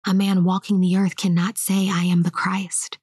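A woman whispering a slow guided meditation and spiritual teaching in a soft, hushed voice. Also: on the low side, little pitch movement, breathy edge, dry recording, clean studio sound.

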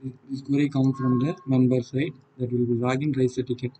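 A man with a South Indian accent and a husky voice, giving an unscripted software walkthrough in halting, non-fluent phrases. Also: mid-range voice, flat delivery, slight background noise, low-quality recording. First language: Tamil. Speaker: software demonstrator. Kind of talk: unscripted screen-recorded tutorial walkthrough.

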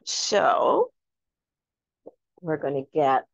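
A woman with a slightly low voice talks viewers through cooking in a chatty, instructive way. The recording is a little dull and lo-fi, with room echo around her. Her style is that of a casual live demonstration. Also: wildly swooping pitch, drawn-out words, vocal fry. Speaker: cooking show host. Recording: echoey room, dull lo-fi sound.

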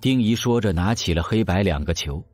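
A man's voice: low voice, lively intonation, fairly quick, darkish tone, soft background music. A man narrating an audio drama in a scripted storytelling style.

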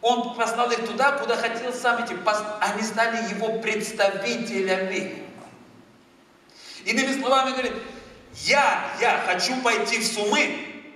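A man in his thirties from Moldova, with a Moldovan accent, preaching a lively sermon on a church stage and moving between loud emphasis and quiet asides. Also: sits high, moderate pace. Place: large church hall with a stage. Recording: echoey church hall, far from the mic.

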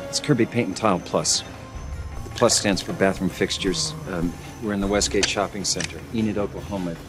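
A man's voice: deep voice, brisk, music in the background, lightly acted. An actor playing an earnest, folksy middle-aged American father who poses as a wealthy adventurer. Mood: sheepish. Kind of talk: film-style dramatic dialogue in a game.

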